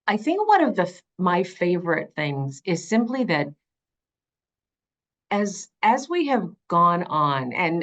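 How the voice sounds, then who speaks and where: A middle-aged woman speaking conversationally in an interview as an audiobook narrator, with earnest, expressive and emphatic phrasing. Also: fairly low pitch.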